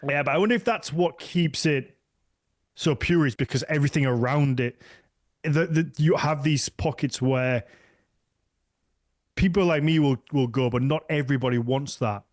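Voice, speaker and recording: A man's voice: on the high side, normal speed, no echo. Rambling male podcast host, dry sound. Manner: rambling, trails off mid-thought. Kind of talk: casual podcast chat.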